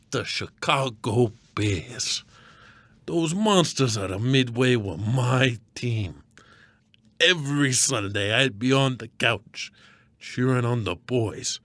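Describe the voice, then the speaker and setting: A man acts out a blustery radio producer in a comedy skit. He gripes and wisecracks in slangy, melodramatic bursts at a middling pitch, recorded close and dry. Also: a bit bright, dry recording. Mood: indignant. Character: blustery, griping radio producer, disillusioned sports superfan.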